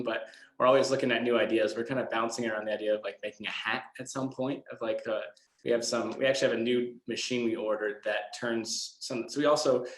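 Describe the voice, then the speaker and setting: A man speaking casually as a guest answering children's questions, in a plain, hesitant, self-deprecating way. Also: medium pitch. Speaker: guest speaker from an eco products company. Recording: echoey room sound.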